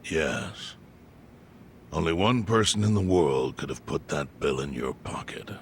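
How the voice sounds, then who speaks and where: Very deep, grave crime chairman. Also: expressive, slight background noise, heavily acted. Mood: serious, explanatory. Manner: deliberate, solemn, cryptic. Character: grave, knowing older male crime syndicate chairman. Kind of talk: English video game dub acting.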